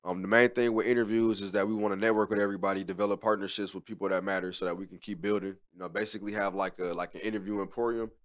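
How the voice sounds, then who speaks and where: Fast-talking laid-back young man. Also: on the low side, level tone. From Haiti. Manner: laid-back, rambling, many fillers. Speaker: interview guest, media producer. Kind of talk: casual podcast interview. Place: studio podcast set with neon sign. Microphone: desk mic on stand.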